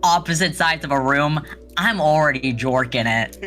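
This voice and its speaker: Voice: mid-range voice, moderate pace, bright, forceful tone, soft background music. A foul-mouthed, self-deprecating co-reader chatting and joking casually during a group let's-read. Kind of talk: casual, crude joking banter.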